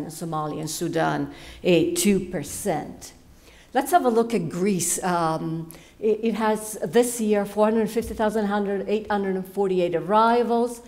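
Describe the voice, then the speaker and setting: A woman's voice: fairly low pitch, a little mellow. A middle-aged woman giving a talk at a lecture hall podium, hesitant, with many fillers and restarts and emphasis on key words. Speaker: panel speaker, faculty member. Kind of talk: academic panel talk with slides. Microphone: podium microphone.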